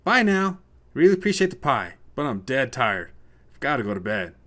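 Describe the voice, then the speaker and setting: A man plays a weary newcomer to the suburbs in an audiobook short story. He is dryly sarcastic and grumbles at his neighbors. His intonation swings widely around a middle pitch. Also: sing-song, normal speed, sharp tone. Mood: tired, polite refusal. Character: tired, cranky male newcomer, dryly sarcastic minimalist. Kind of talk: audiobook character voice.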